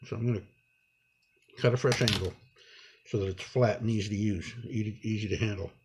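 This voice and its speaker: A man giving an improvised hands-on tutorial, talking unhurriedly and haltingly through each step as he works. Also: fairly low pitch, background noise. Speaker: instructor demonstrating a repair.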